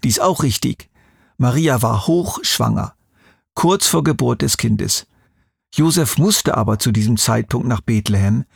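A man explaining things to a child in a conversational way, as the expert, with measured, clearly structured answers. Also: medium pitch, animated, no room sound, studio quality.

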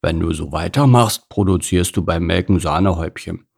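A man voicing a calm, sensible male plough horse with a grumbly, patient manner in a storybook. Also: moderate pace, creaky, slightly rough, exaggerated voice. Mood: dry. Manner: low grumbling, sighing, patient. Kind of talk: storybook character voice.

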